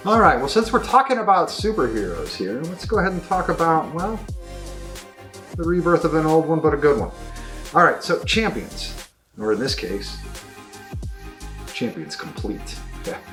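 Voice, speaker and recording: A man's voice: on the high side, very animated, moderate pace, long pauses, a bit bright, soft background music. A middle-aged man giving a casual, enthusiastic and discursive review of new tabletop games as a store presenter. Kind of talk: casual product review talk to camera.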